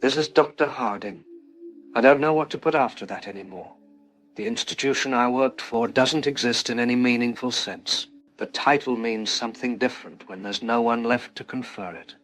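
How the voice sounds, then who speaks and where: A man playing a reflective scientist, a lone survivor recording a measured, philosophical log for an audio drama. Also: mid-range voice, a bit bright, natural voice. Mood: somber, reflective. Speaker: doctor and researcher.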